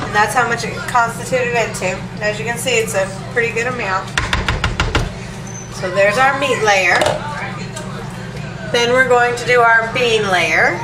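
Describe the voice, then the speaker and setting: Stuffy-nosed woman chatting through a recipe. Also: fairly high pitch. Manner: chatty, relaxed, lots of ums and asides. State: stuffed up from allergies, sniffling. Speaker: home cook showing a recipe. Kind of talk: casual cooking demo. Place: home kitchen. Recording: echoey room, distant mic, hiss.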